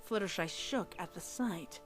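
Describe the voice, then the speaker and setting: A woman's voice: lowish voice, moderate pace. A woman giving a scripted, dramatic narration of a story. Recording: loud music behind voice. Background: music.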